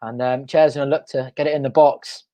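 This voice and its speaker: A young man hosting a live football watch-along from home, excitable and shouting, his voice going hoarse. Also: medium pitch, fairly quick. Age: young adult. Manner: excitable, shouting and chanting at goals. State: losing his voice. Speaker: fan channel host. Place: home front room.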